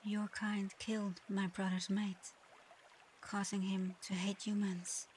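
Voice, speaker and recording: A woman voicing a proud but conflicted dragon girl in human form for an ASMR audio roleplay, soft-spoken, hesitant and earnest. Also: mid-range voice, little pitch movement, slow, slight background noise, natural voice. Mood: solemn, conflicted.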